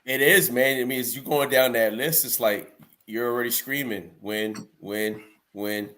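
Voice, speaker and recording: A man co-hosting a sports podcast, agreeing in a relaxed, easygoing way. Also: medium pitch, moderate pace. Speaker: podcast co-host. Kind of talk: casual sports chat.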